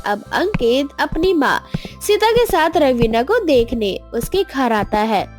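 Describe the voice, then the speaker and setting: High-pitched woman narrating a story. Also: sing-song, takes it slowly, faint music behind.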